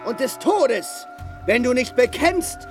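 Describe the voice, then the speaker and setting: Very high-pitched, declaiming combative knight. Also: male voice, expressive, slow pace, over music, full character voice. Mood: fierce, commanding. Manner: declamatory, archaic speech. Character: combative knight in a chivalric adventure radio play. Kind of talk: theatrical radio drama acting.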